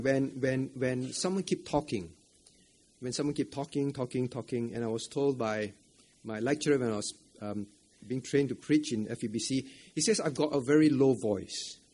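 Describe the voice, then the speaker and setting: Husky, upbeat pastor. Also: male voice, a little high. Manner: asks the audience many questions, repeats phrases, tags lines with "right?"; chuckles often between points. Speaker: pastor preaching at a church camp. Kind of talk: interactive camp sermon. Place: church camp meeting room.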